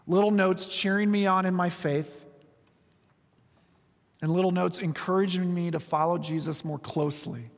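A man in his forties preaching a sermon, telling stories with humor and moving between soft, slow passages and quick, lively ones. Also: high voice. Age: 40s. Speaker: pastor. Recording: long reverb of a large hall.

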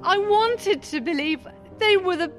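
A woman's voice: very high voice, unhurried. A woman acting in a radio drama as a grieving, skeptical doctor, defensive and argumentative. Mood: panicked. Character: grieving skeptical female doctor, former army chaplain, defensive. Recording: music behind.

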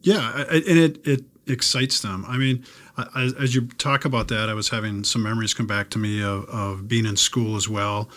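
A man's voice: on the low side, steady tone, normal speed, a bit bright. A middle-aged man in casual, reflective conversation, rambling informally as he thinks aloud.

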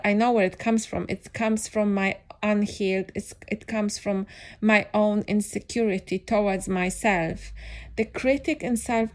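A young woman talks through her thoughts in English, which is not her first language. She speaks slowly and unhurriedly, pausing and restarting often. Her pitch sits in a middle range. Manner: thoughtful, hesitant, many restarts and fillers. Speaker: podcast host. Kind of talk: reflective personal monologue.

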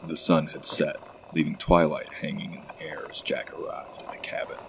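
A man hosting and narrating a horror audio story with deliberate, measured phrasing. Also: very deep voice, little pitch movement, gravelly, in a whisper. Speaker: podcast host and audio drama narrator.

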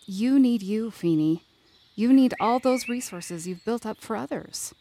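A woman's voice: on the high side, expressive, measured pace, warm tone, slight background noise. A woman playing a candid, wry leader and loyal confidante in a fiction podcast drama, with a blunt, teasing manner. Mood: insistent.

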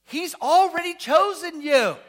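Pastor, male, unusually high and bright voice, casual and joking, quizzes the congregation, bursts into shouts. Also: measured pace. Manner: animated, rising to shouts, asks the congregation questions. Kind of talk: sermon.

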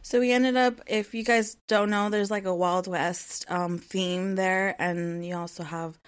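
A woman's voice: mid-range voice, steady tone, a little slow, clear, resonant tone. Woman, 30s, podcast host, casual solo chat, rambling, filler-heavy.

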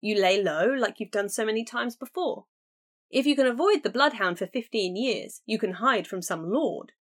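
A woman's voice: mid-range voice, lively intonation, moderate pace, close to an everyday voice. A woman reading an audiobook, voicing an eager young woman who urgently presses her sister to take a chance. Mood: eager pleading. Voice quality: bright, urgent and persuasive. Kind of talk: audiobook character voice.

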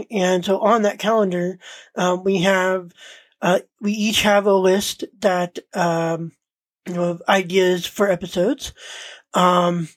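A woman co-hosting a podcast in casual, unscripted talk, speaking hesitantly and often pausing mid-sentence. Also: lowish voice, no room sound. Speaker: podcast co-host.

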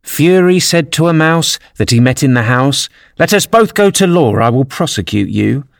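Man voicing a touchy, indignant mouse, pitched up. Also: animated, moderate pace, gravelly, dry recording, studio quality. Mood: dramatic recital. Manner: severe, indignant. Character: touchy, offended male mouse, gloomy and sharp-tempered.

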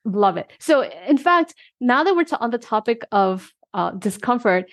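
A woman's voice: a little high, sing-song, normal speed. A woman hosting an interview podcast, a leadership coach who asks questions eagerly and affirms her guest often. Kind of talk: conversational interview.

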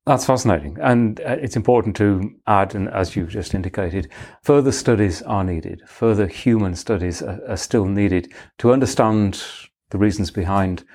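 A man's voice: lowish voice, moderate pace, a little mellow. A man hosting an interview, summarizing points and asking engaged follow-up questions. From Europe.

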